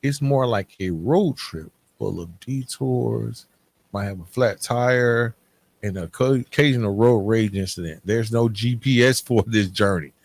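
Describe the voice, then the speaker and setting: A man's voice: on the low side, a little slow, creaky, a little mellow. A man hosting a solo podcast, talking candidly and informally in a half-scripted, conversational way, checking in with "right?" as he makes his points. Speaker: podcast host.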